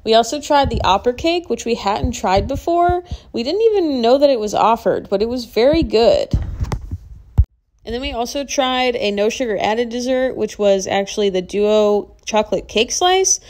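A woman's voice: on the high side, moderate pace, a little mellow. Enthusiastic woman narrating a vlog. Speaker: vlog host. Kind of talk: casual voice-over narration.

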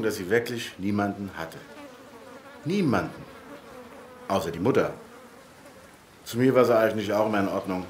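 A low-pitched, easygoing mailbox acted by a man rambles in a rural German dialect. The radio play delivery is folksy and resigned, with faint insect buzz behind it. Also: slight background noise, lightly acted.

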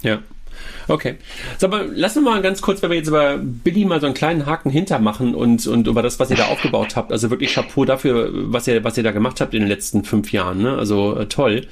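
A German man with a mid-range voice hosts a podcast. He asks his questions in a chatty, informal way, often ending on a quick tag question. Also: mid-range voice, vocal fry. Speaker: podcast host. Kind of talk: casual interview chat.

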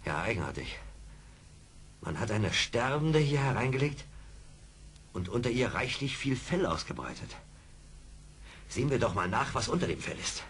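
An actor playing a calm, shrewd frontier scout in a radio play, speaking in a measured, commanding way. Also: male voice, medium pitch. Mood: calm, decisive. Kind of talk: 1970s German radio play acting. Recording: old record, clear background noise.

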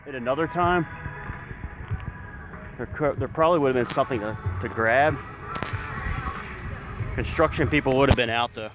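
A man's voice: medium pitch, normal speed, long pauses, over music, poor audio. A man in his forties, filming himself on a phone outdoors, rambling through a casual walking commentary with wry asides to viewers. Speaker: vlogger. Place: outdoors on an empty demolished lot. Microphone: handheld phone camera. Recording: outdoor phone recording with loud wind and traffic noise.

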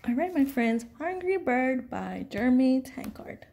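A woman reading a children's picture book aloud in an expressive, deliberate storytelling style. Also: high-pitched, vocal fry.

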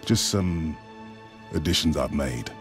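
Big gruff soldier with a soft heart, deep voice, video game scene with music under. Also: male voice, slow, full character voice. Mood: subdued. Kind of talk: video game cutscene acting.

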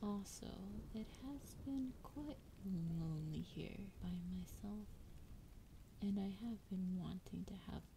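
A woman voicing a sultry, menacing female dragon in a breathy, whispered fantasy roleplay, teasing and drawing out her words. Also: medium pitch, background noise. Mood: flirtatious, playful. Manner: slow, teasing, drawn-out delivery.

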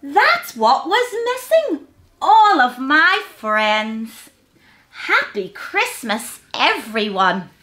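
A woman voicing a small, kind, eager male hedgehog with a squeaky, childlike, exclamatory way of talking in a children's storybook. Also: very animated, drawn-out words, bright, forceful tone, exaggerated voice. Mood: joyful.

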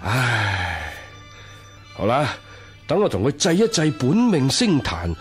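An imperious Taoist priest in a radio drama. His solemn, chanting commands come slowly and sweep widely up and down, in a fairly high male voice. Music plays faintly behind him. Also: wildly swooping pitch. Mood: grave. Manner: incantatory, archaic commanding delivery. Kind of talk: Cantonese radio drama acting.

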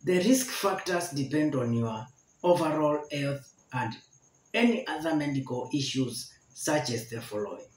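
A man in his forties teaching a health lesson to camera, slowly repeating each point to explain it. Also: a little high, animated. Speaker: medical educator. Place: indoor room with barred window. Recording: echoey room.

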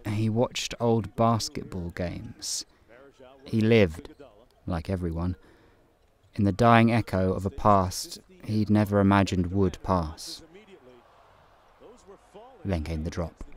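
A man narrating an audio play in a calm, measured and wry way. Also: low-pitched. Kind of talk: scripted narration. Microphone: home recording booth.

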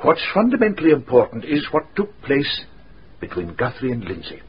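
An actor playing a bookish gentleman lawyer in a radio drama, formal, inquisitive and deliberate. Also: medium pitch, huge pitch swings. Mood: probing, courteous. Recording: dull radio recording, faint noise.

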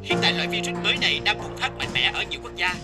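An actor voicing an urgent, formal television news reporter in a cartoon. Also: male voice, high voice, sing-song, very fast, loud music behind the voice.

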